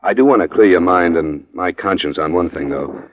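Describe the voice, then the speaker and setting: Muffled, noisy old radio recording of a man playing a hard-boiled American private investigator. His voice is low, his delivery clipped, world-weary and plain-spoken in vintage detective-drama style. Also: normal speed, natural voice. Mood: somber, careful. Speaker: actor in a radio detective series.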